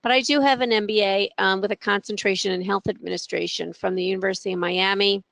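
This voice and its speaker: Gravelly-voiced middle-aged woman presenting a webinar. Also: mid-range voice, fairly even intonation, quick pace, a bit bright, no room sound. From New York. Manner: hesitant, many ums and restarts. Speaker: academic director.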